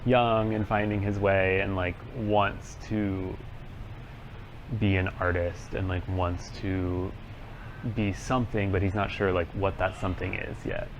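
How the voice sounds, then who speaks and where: Deep-voiced American man, dull recording. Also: flat delivery, unhurried. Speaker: musical theatre actor. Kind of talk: cast interview. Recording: dull sound, faint background noise.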